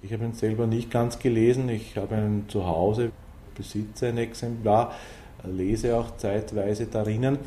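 A man's voice: lowish voice, steady tone, measured pace, mellow. A man giving thoughtful interview answers in formal, bookish phrasing. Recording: roomy echo with faint ambient noise.

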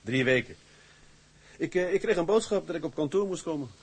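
An actor playing a young newlywed ship's mate who is boastful and stubborn, in a radio play. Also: male voice, a little high, brisk, husky. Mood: brisk. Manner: animated, swings from hushed muttering to loud bluster.

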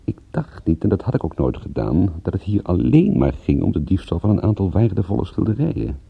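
Gravelly, sardonic radio private eye. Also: male voice, sits low, very animated, brisk, no echo, exaggerated voice. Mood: brooding. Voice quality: gravelly, husky. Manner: sardonic, dry-witted. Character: wry, world-weary male private detective, narrating. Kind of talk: radio drama narration and dialogue. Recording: muffled, phone-like old radio recording.

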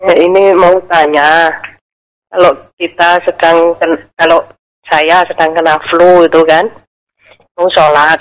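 A woman's voice: on the low side, little pitch movement, takes it slowly, long pauses, rough recording. A woman caller phones in to a religious lesson program. She asks her question hesitantly, breaking off and restarting her sentences.

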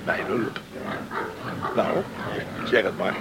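A stoic, plain-spoken farmer in a radio drama. His creaky voice is laconic, and loud pigsty noise sits behind it. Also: low-pitched, slow. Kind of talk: Dutch radio play acting. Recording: noisy pigsty sounds behind.

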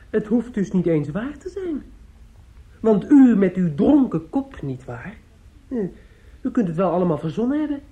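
An actor in a radio drama playing a bitter young rival in love, coldly polite, clipped and sardonic. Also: male voice, high-pitched, big pitch swings, slow pace, pauses often, mellow, full character voice. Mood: bitter sarcasm. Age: young adult. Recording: dull, muffled radio play recording.

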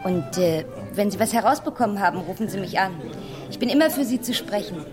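An actress playing a haughty, flirtatious femme fatale client in a radio crime drama. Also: female voice, mid-range voice, expressive, fairly quick, music in the background, lightly acted. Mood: flirtatious command. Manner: haughty, coquettish.

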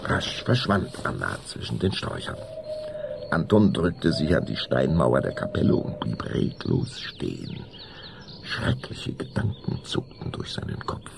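A man's voice: extremely low pitch, huge pitch swings, unhurried, vocal fry, noisy background. A man narrating a children's spooky radio play in a theatrical, suspenseful way. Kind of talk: dramatic scripted narration of children's horror story.

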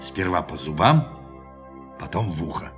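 A storyteller narrating a science fiction radio play. His voice is deep, with clear music playing behind the dramatic narration. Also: very animated, a little slow.

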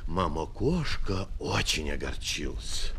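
A man narrating a children's fairy tale as an expressive storyteller. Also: very deep voice, slow pace, husky. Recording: music on a 1970s children's flexi-disc.

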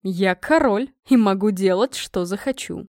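A woman's voice: medium pitch, a little slow, darkish tone, no echo, clean studio sound, natural voice. A woman reading the part of a smug middle-aged king, a teasing father with an offhand, philosophical manner. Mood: smug, unmoved. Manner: chuckling, casual, offhand.

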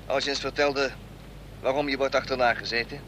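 An actor plays a seasoned male airline pilot, gruff and unimpressed. His medium-pitched voice is laconic and wry, with short grunted hums. Clear engine noise runs behind this radio drama recording. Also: fairly even intonation, brisk, a bit bright, lightly acted. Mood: laconic, dry. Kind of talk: Dutch radio play acting. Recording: noisy radio play, engine ambience.

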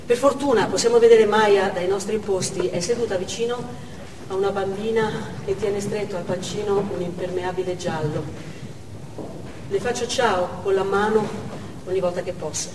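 An actress playing a watchful, worried hostage parent, narrating in a dramatic stage monologue. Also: female voice, medium pitch, steady tone, moderate pace, natural voice. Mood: anxious, tender. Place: on stage in a theatre. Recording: echoey theatre hall from far off, noisy.

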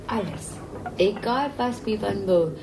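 A distressed middle-aged woman recounting personal events in halting, insistent, repetitive phrases. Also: medium pitch, gravelly. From East Germany. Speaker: mother recounting her children's departure. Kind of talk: emotional documentary recollection. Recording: very noisy location recording.

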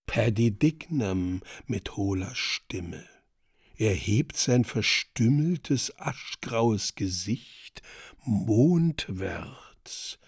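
A man narrating a radio drama from a script in a deliberate, theatrical way. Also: fairly low pitch, animated, slightly rough, no echo, studio quality.

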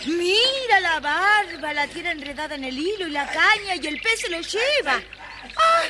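An actress playing a kind, excitable young girl in a children's audio storybook, exclaiming wide-eyed. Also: extremely high pitch, a little slow, heavily acted. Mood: excited concern. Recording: very noisy old children's record.

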